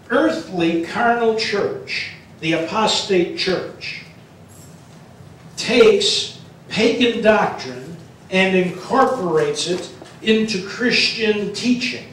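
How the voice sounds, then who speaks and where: A man's voice: high voice, a little hiss or hum. An older man preaching a sermon in a church sanctuary, emphatic and questioning the congregation, often tagging points with "okay?". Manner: emphatic, asks the room questions and answers them, ends points with "okay?"; moves around the stage and gestures while preaching. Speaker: pastor. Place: church sanctuary with large wall text. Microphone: room mic, speaker far from it. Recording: very echoey church from a distance.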